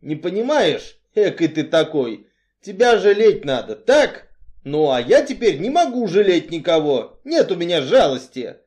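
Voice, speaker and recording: A man voicing a brooding, weary strongman who speaks bluntly and emphatically in halting, colloquial phrases. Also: fairly high pitch, big pitch swings, darkish tone, pitched above the performer's own voice. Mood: embittered.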